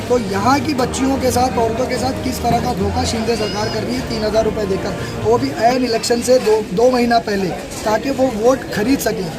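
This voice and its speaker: A man giving an assertive, emphatic political pitch as a candidate in a campaign interview, often repeating his points. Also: low-quality recording. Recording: loud music, crowd and street traffic. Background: music, crowd, vehicles.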